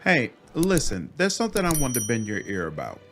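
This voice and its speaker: Troubled spy read by a man, blunt and folksy, scolding in lively swings, game dialogue. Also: medium pitch, a little fast, music in the background. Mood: urgent warning. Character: blunt, troubled female spy warning her commander.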